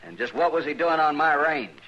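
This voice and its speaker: An American actor playing an angry, stubborn cattle rancher in a radio western, with a gruff, blunt, rustic way of talking. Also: male voice, on the high side, very animated, normal speed, bright, forceful tone, heavily acted. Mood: threatening. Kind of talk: old-time radio western acting. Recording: muffled old radio broadcast with hiss.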